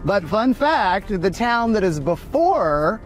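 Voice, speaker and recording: A man's voice: high-pitched, very animated, takes it slowly. Man, forties, co-host, playful theatrical banter, background music. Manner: campy, teasing, theatrical. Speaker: travel show co-host. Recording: music under the voice.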